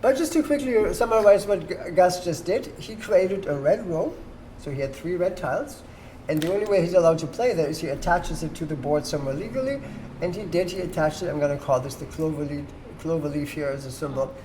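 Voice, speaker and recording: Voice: medium pitch, animated, brisk, a little mellow. Someone hosting a board game lesson, patient n instructive, bit of room noise behind. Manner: patient, instructive, checks understanding. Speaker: host teaching board game rules. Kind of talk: explanatory walkthrough to players at a table. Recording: noisy room with background ambience.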